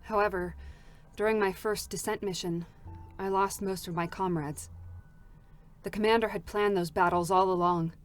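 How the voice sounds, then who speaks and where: An actress playing a bitter, vengeful combat android in an intense, defiant dramatic reading of a game character. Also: female voice, mid-range voice, fairly even intonation, normal speed, lightly acted. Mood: grim, wounded. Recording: music behind the voice. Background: music.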